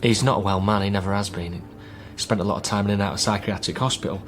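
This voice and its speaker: A man acting a troubled son in a British radio drama. His voice is on the low side, earnest and a little hesitant, with a guarded temper. Faint music sits underneath. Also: fairly even intonation, fast talker, fairly bright tone, close to an everyday voice. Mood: worried. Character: worried, guarded divorced son caring for troubled father.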